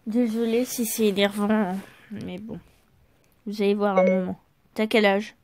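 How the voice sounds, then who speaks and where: A woman's voice: mid-range voice, level tone, unhurried, darkish tone, slight background noise. A woman hosting a casual vlog, impatiently repeating the same question to a phone. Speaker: channel host.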